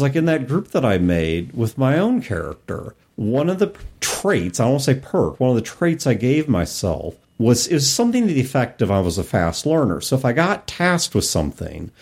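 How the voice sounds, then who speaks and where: A man's voice: medium pitch, very animated, moderate pace, hardly any pauses, darkish tone. A man hosting a podcast, chatting casually about games. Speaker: podcast host. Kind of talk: casual discussion of role-playing games.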